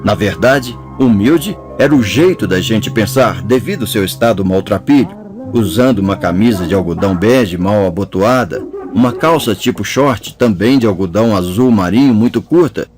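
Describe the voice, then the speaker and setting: A man narrating an audiobook chapter in a measured storytelling manner. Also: fairly low pitch, lively intonation, over music. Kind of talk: scripted narration.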